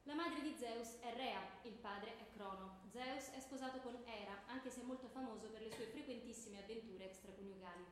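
An actress playing a stern, pedantic schoolteacher who lectures and quizzes pupils in comic radio theatre. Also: female voice, fairly high pitch, moderate pace, few pauses, natural voice. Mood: pedantic lecturing. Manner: pedantic, curt, reciting lists. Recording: echoey room, clearly noisy.

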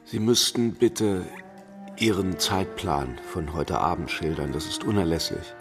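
Brooding police commissioner, gravelly, deep, introspective, restrained delivery, radio crime drama. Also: male voice, unhurried, over music. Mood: probing, restrained. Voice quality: gravelly, husky. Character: weary, dogged, introspective male French police commissioner. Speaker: actor playing a police commissioner.

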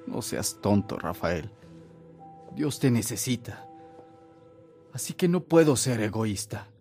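Slow, fatherly priest over music. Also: lowish voice, very animated, darkish tone, full character voice. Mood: fatherly, solemn. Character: kindly, selfless older priest, fatherly mentor. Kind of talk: Spanish-language radio drama acting.

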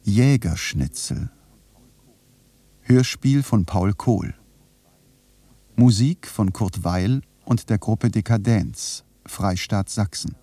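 Slow, sober male radio announcer. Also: lowish voice, dark tone, no room sound. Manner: measured, sober. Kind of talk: formal scripted credits announcement.

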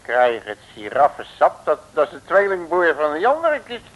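An actor playing a bearded, boastful, grumbling detective in a comic Dutch radio drama from around 1960, blustering in colloquial hesitant speech. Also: male voice, a little high, huge pitch swings, moderate pace, fairly bright tone, highs cut off. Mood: puzzled. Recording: noisy old radio recording.

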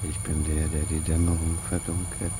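An actor playing evil incarnate in a German radio play, a taunting spirit who speaks in a solemn, insinuating, menacing way. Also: male voice, a bass voice, little pitch movement, normal speed, background noise.

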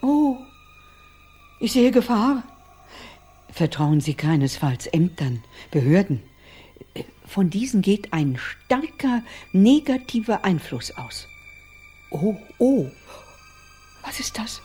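An actress playing a timid, scrupulous fortune-teller with a husky voice and a hesitant, solemn manner in a radio crime drama. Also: female voice, medium pitch, very animated, slow pace, long pauses, dark tone, faint music behind, exaggerated voice. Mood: ominous, theatrical.

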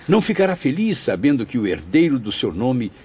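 An actor playing a kindly, pious, encouraging spirit brother in a radio soap, with gentle, formal Portuguese. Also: male voice, medium pitch, very animated, brisk, husky. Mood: consoling. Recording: dull lo-fi radio drama recording.

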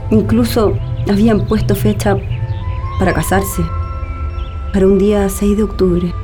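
Woman acting as reminiscing daughter, Chilean accent, middle pitch, radio drama, nostalgic, background music. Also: steady tone, unhurried, natural voice. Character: railwayman's nostalgic daughter recalling her family. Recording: music under the voice.

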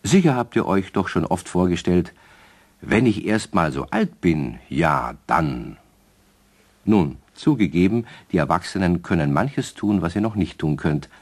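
Storyteller, very low voice, lively intonation, avuncular, measured, children's radio play narration. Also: male voice, slightly rough, exaggerated voice. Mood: gently explanatory. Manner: measured, expressive, explanatory.

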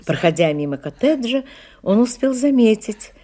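A woman's voice: on the high side, very animated, moderate pace, warm tone. A woman narrating a detective audio play as a composed storyteller, in a scripted dramatic style. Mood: measured narration.

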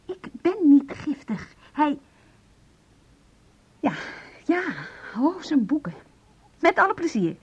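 A woman playing a bitter estranged wife in a radio drama, husky, clipped and sarcastic, holding back her emotions. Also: high-pitched, very animated, slow pace, pauses often, dull audio, heavily acted. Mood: wounded, restrained. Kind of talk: Dutch radio drama acting.

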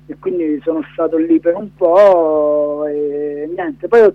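A man's voice: on the high side, slow, no room sound. A man being interviewed on the radio, talking in a hesitant, discursive way full of hedges. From Rome area, Italy. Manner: hesitant, discursive, many hedging fillers. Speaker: documentary director, interview guest. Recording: dull lo-fi phone-in sound.

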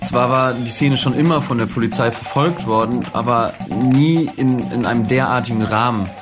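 A man's voice: medium pitch, moderate pace, over music. A man giving an explanatory account as an insider in an interview.

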